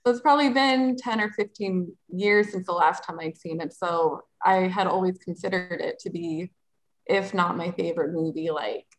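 A woman with a fairly low voice talks casually on a movie podcast. She rambles a little and leans on fillers like "like". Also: moderate pace, low-quality recording. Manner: chatty, nostalgic, filler-heavy. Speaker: podcast co-host.

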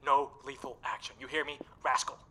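A man's voice: mid-range voice, little pitch movement, a little fast, sharp tone. A man voicing a blustering veteran raccoon sergeant who barks military orders. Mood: commanding. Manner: barking military orders, boastful.

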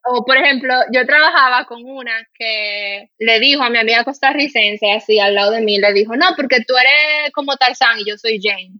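A woman's voice: a little high, moderate pace, few pauses, no room sound, lo-fi sound. A woman from the Dominican Republic with a mild Dominican Spanish accent, hosting a casual podcast and telling indignant stories full of fillers. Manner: animated, indignant, storytelling with many fillers. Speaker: podcast host.

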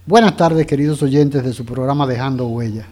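A man hosting a radio interview in a formal, courteous and measured way. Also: mid-range voice, mellow, faint music behind. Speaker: radio host.

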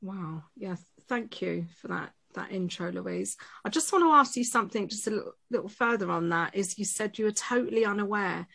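A woman hosting a group podcast at a middling pitch. Her delivery is gentle and halting, full of small hesitations and restarts as she gathers her thoughts. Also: vocal fry. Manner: gentle, halting, frequent fillers. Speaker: host.

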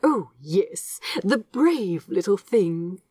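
Woman as a prim, kindly male angel, very expressive. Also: medium pitch, extremely slow, husky, no room sound, exaggerated voice. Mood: cheerful, fond. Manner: gentle, fussy, earnest. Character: kindly, prim, cheerful male angel bookseller, fondly fussy.

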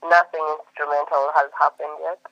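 Man, thirties, playful casual chat, bright voice, dry sound. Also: on the high side, flat delivery, moderate pace, dry recording, highs cut off. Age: 30s. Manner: playful, laughs often, mixes Swahili and English. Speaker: podcast co-host.

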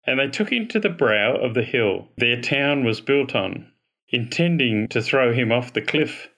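A man reading a gospel passage aloud from a script, with a clear, formal storytelling delivery. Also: mid-range voice, animated, a little slow, a bit bright. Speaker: scripture reader.

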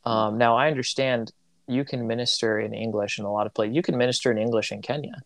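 Man raised in Tanzania, missionary; reflective, self-correcting delivery, average pitch, dry close recording. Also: steady tone, fast talker, no echo. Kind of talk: casual conversation.

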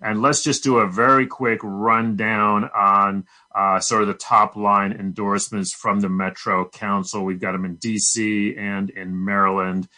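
A man's voice: lowish voice, little pitch movement, unhurried, few pauses, pushed and bright. An American man hosting a radio interview in a conversational style. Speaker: radio show host.

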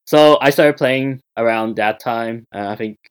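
A man's voice: mid-range voice, unhurried, clean tone. A man in his twenties with a Canadian accent, hosting a podcast in a rambling, easygoing way full of filler words. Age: 20s. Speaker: podcast host. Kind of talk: casual chat.

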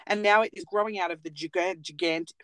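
A woman's voice: fairly low pitch, steady tone, fairly quick, a bit bright, dry recording, rough recording. A woman in her late thirties co-hosting a casual podcast from a small cupboard at home, joking and laughing at herself. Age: 30s. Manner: joking, self-mocking, laughs often. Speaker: podcast co-host, nail technician. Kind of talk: casual chat.

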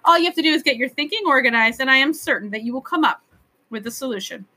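Woman putting on an excitable, regal male storybook creature. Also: high-pitched, fast talker, pitched above the performer's own voice. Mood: confident. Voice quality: lisping. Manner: grand, pleading, insistent. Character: excitable, lonely, imperious magical royal creature, male, antlered.

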